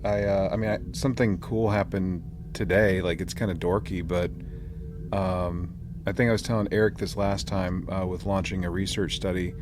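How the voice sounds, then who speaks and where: A man with a fairly low voice hosts a casual podcast chat. He talks in a jokey, slangy, informal way, over clearly audible background noise. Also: steady tone, moderate pace. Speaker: podcast host. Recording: clearly noisy room ambience.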